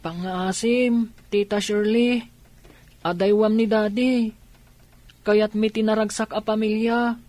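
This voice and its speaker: An actress with an Ilocano accent playing a sad, earnest child who pleads mournfully in a radio drama. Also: female voice, mid-range voice, fairly even intonation, slow pace, long pauses, warm tone, no room sound, lightly acted. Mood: pleading. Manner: imploring, mournful.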